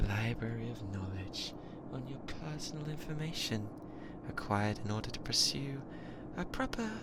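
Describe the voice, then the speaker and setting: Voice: medium pitch, close to an everyday voice. Possessive British AI in heavy noise, acted out. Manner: obsessive, stilted formal machine phrasing, often whispering. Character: possessive lovesick yandere spaceship AI, newly sentient. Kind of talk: binaural ASMR roleplay acting. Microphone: close binaural microphone.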